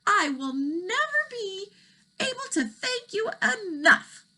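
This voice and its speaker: A woman's voice: very high voice, very animated, slow, bright, forceful tone, pitched above the performer's own voice, heavily acted. A woman voicing a grateful young male dragon with a quavering, wailing voice.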